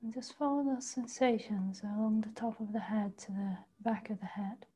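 A woman leading a guided body meditation, speaking slowly and softly, often in a half whisper. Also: mid-range voice, husky, rough recording. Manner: slow, soft, partly whispered guidance. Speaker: meditation teacher. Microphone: video call.

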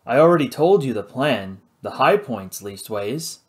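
A roguish pirate pilot from an adventure storybook, played by a male narrator. He is unflappable and slyly evasive, teasing drily in a mid-range voice with lively inflection. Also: mid-range voice, unhurried, voice pushed down, close to an everyday voice. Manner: dry, teasing, nonchalant.

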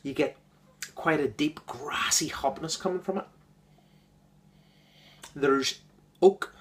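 A man giving an enthusiastic, casual beer review, repeating words and filling pauses with ums. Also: on the high side.

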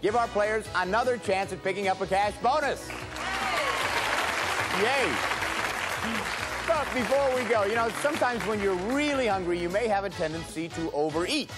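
A middle-aged man hosting a studio game show, talking in a brisk, cheerful, emphatic way. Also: high-pitched, a crowd in the background. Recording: broadcast with loud music and studio audience.

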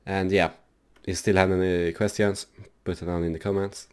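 A man hosting a casual, loose software tutorial for his gaming channel, rambling with lots of fillers. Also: sits low, flat delivery, moderate pace, clear, resonant tone.

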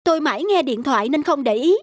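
A woman's voice: sits high, animated, fast, no room sound, studio quality. A woman playing a sensible, firm young female passenger with an earnest, reasoning manner in a cartoon. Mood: firm, annoyed. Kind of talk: cartoon voice acting.

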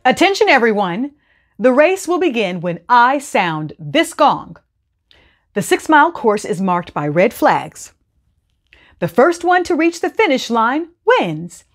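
An official storybook rooster, acted out by a woman, proclaiming to a gathering. The voice is raised and emphatic, with big swings in pitch. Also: very animated, a little slow, a faint crowd. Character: rooster race announcer, loud and official. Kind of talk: storybook character voice.

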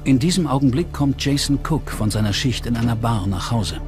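A man's voice: mid-range voice, lively intonation, a little fast, over music. A man reading scripted true-crime documentary narration as the narrator.

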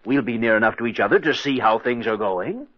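An actor playing a cocky, impatient diamond smuggler in an insistent, persuasive old-time radio drama style. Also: male voice, medium pitch, a little fast, no echo, lightly acted. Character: scheming smooth-talking male diamond smuggler, impatient and cocky. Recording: dull, lo-fi old radio recording.

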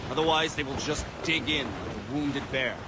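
An actor playing a grave, courteous colonial colonel in a video game, speaking formally and with measured wisdom. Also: male voice, mid-range voice, lightly acted. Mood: measured advice. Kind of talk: video game cutscene acting. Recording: outdoor ship ambience and noise behind.

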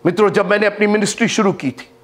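A man in his fifties with a high voice for his sex, preaching to camera on a lapel mic in a studio. He swings between loud, emphatic lines and low, hushed ones. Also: racing. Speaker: bishop and preacher. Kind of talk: Hindi sermon to camera. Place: studio with green-screen backdrop.

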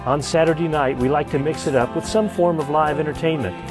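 A man's voice: on the high side, a little fast. Middle-aged man narrating over guitar music. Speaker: community spokesman, promotional narrator. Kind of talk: scripted promotional narration. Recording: music behind the voice.

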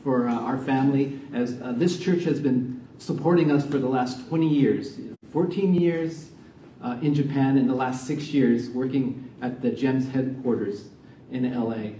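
A middle-aged man, a missionary, giving a sermon and prayer in a church, soft-spoken and halting with many fillers. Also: mid-range voice, steady tone, a little hiss or hum. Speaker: missionary preacher. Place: church sanctuary, medium-sized. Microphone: stand mic from a distance. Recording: roomy church sound, mic far off.

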